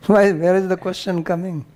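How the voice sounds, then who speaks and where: A man's voice: sits high, fairly even intonation, a little slow, warm tone. An older man with an Indian accent answers students as a spiritual teacher, bluntly and often turning questions back on them.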